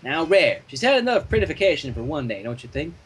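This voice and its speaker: Stubborn country cartoon mare, male reader, folksy and reluctant, with a husky edge. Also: on the high side, big pitch swings, fast talker. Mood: firm. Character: stubborn, grumbling female country pony farmer.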